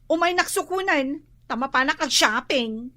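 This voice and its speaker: A woman's voice: high voice, expressive, normal speed, pushed and bright. A woman playing a vain, whiny office worker. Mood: chatty. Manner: whiny, dramatic. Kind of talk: radio comedy drama acting.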